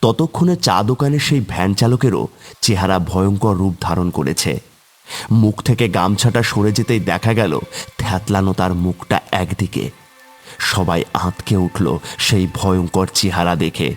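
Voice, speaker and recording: A man's voice: low voice, normal speed. A man narrating a scripted horror story in a dramatic, suspenseful way.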